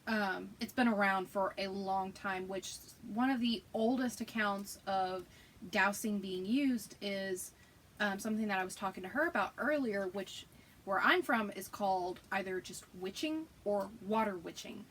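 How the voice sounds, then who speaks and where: A woman's voice: mid-range voice, unhurried, fairly bright tone, a little hiss or hum, low-quality recording. A woman with a Southern US accent chatting casually as a co-host, self-deprecating and rambling as she tells stories. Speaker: podcast co-host. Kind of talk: casual chat.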